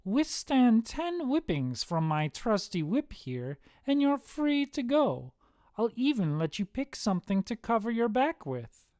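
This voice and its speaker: A woman's voice: on the high side, sing-song, measured pace, warm tone, no echo, studio quality, voice pushed up. A woman voicing a smug, taunting male Satan in a comic story, with a mocking, commanding manner.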